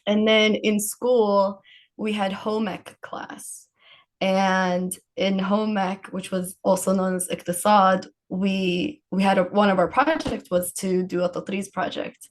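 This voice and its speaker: A woman's voice: medium pitch, steady tone, slow pace. A woman from Palestine with a mid-range voice, talking as a guest on a podcast. She tells stories conversationally and informally, in loose everyday phrasing. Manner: informal, frequent laughter. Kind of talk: casual conversational storytelling.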